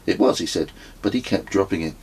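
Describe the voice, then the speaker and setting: A man reading his own memoir as an audiobook, in measured, descriptive storytelling narration. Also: low voice, a little mellow, slightly rough, poor audio.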